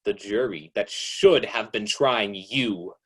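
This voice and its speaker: A man playing an ominous, all-knowing radio mystery narrator who speaks in a sardonic, accusing and deliberate way. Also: lowish voice, fairly bright tone. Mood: sinister, taunting. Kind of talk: old-time radio mystery narration, second person.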